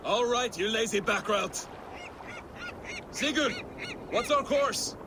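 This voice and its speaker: Very high-voiced, rowdy Viking sailor. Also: male voice, slow, noisy background. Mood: bossy, rousing. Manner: bellowing, crude. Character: coarse, rowdy male Viking crewman, jovially bossy. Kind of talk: cinematic video game acting.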